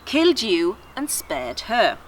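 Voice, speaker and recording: A woman voicing a stern, commanding male angel in a children's story, measured and admonishing. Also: mid-range voice, huge pitch swings, a little hiss or hum, poor audio. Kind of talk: children's story read aloud.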